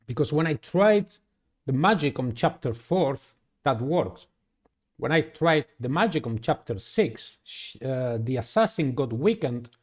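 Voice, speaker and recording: A man hosting a gaming channel, giving halting, theatrical playthrough commentary and narration with formal wording. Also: medium pitch. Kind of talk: playthrough commentary and read-aloud visual novel narration.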